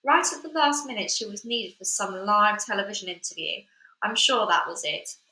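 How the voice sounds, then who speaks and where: Bright-voiced woman reading a storybook. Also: mid-range voice, moderate pace. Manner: chatty and expressive, with fillers in asides. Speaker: storybook reader. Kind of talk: reading a children's book aloud. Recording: echoey room.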